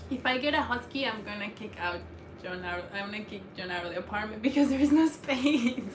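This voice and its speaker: A woman's voice: medium pitch, lively intonation, fairly quick. A young woman with a non-native accent, chatting shyly and laughing often as co-host of a livestream. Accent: non-native English accent. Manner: laughs often, shy and brief. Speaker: co-host, partner of the host. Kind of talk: casual livestream chat. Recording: echoey room with noticeable noise.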